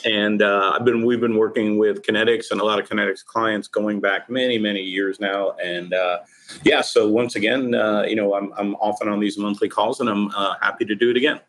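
A man's voice: on the low side, steady tone, brisk, a bit bright, poor audio. A man, a guest attorney, talking casually and conversationally.

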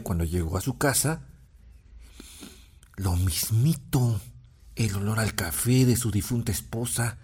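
An actor playing a fatherly storyteller who narrates a village legend in an audio drama, husky, with a Mexican accent. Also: fairly low pitch, darkish tone.

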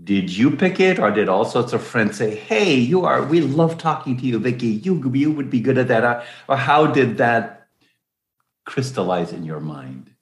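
A man's voice: mid-range voice, expressive, moderate pace. A man hosting an interview, curiously restating his guest's words before asking open questions. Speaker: interview host. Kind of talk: conversational interviewing. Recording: echoey room.

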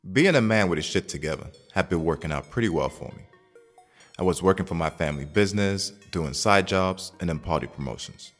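A man acts out a cocky young bachelor in an audio drama. His voice is low and swings in a very lively way, with slangy, confident phrasing. Soft music plays behind him. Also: brisk. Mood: reflective. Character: cocky, smitten young single man telling his story. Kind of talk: audio drama narration and dialogue.

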